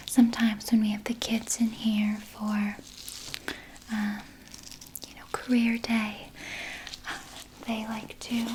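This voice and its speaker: A woman voicing a soothing, sly vampire nurse in a gentle, breathy ASMR whisper, reassuring a patient with small hesitant pauses. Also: on the high side, noisy background. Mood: soothing, evasive. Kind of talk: ASMR personal attention roleplay.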